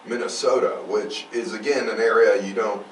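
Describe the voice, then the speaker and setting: A man's voice: on the low side, fairly even intonation, normal speed. A man co-hosting a casual true-crime podcast, rambling along and repeating himself for emphasis. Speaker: podcast co-host. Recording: roomy, distant mic, faint noise.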